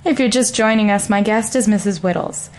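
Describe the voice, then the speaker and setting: A woman plays a straight-faced arts correspondent who asks questions in an earnest, composed way. Her delivery is steady and measured, in an ordinary mid-range voice. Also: mid-range voice, natural voice, poor audio. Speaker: comic news correspondent. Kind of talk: deadpan parody news interview.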